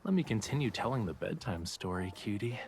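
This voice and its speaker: A man's voice: fairly low pitch, very animated, brisk, a little hiss or hum. An actor playing a playful young male love interest in a romance game, talking in a coy, teasing drawl. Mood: storytelling.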